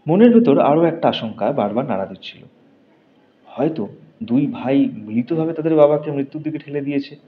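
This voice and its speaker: A man narrating a scripted mystery story in the first person in a dramatic audiobook style. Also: medium pitch. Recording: dull recording with faint noise.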